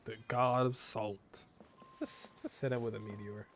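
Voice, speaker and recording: A man's voice: fairly low pitch, little pitch movement, unhurried, a little hiss or hum. Man casually commentating over gameplay. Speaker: video game streamer. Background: game music.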